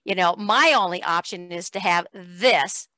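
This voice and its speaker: A woman's voice: fairly low pitch, a little slow, sharp tone, dry recording. A woman hosting a conversational interview show, speaking in an emphatic, motivational way. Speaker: talk show host.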